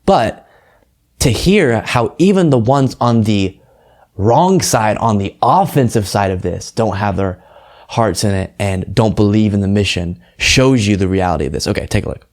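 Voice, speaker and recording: A man's voice: on the low side, normal speed. An American man hosting a political commentary show, talking in an earnest, emphatic and conversational way. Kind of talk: casual political commentary, reading translated quotes aloud.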